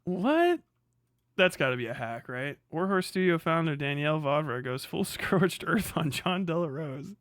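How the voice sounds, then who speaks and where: A man's voice: fairly high pitch, a bit bright, no echo, clean studio sound. A young man hosting a casual live stream, reacting with sardonic, profane, incredulous commentary and frequent laughter. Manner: sardonic, swearing, laughing often, incredulous outbursts. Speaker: streamer host.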